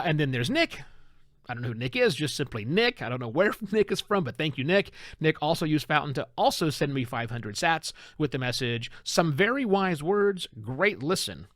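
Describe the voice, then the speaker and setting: A man's voice: high-pitched, fairly bright tone, dry recording, studio quality. A middle-aged man with an American accent hosting a solo podcast, talking conversationally from an outline with self-deprecating asides.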